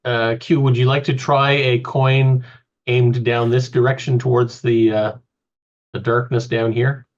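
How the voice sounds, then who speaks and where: A man's voice: mid-range voice, little pitch movement, a little slow, dull audio. A man playing in an online tabletop game, joking around and talking strategy with his group. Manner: joking, plays with silly accents. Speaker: player. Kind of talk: casual game chat.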